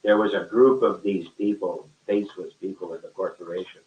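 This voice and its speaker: A man telling a rambling, conversational story in a halting, emphatic way, often repeating his phrases. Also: sits low, fairly even intonation. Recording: roomy echo, distant mic, muffled sound.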